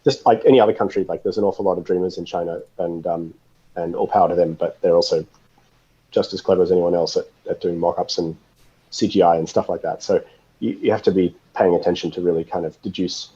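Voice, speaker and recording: A man speaking as an expert interview guest, explaining things informally in a thoughtful, halting, analytical way, on slightly dull audio. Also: deep voice, mellow, husky. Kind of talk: casual explanatory interview talk.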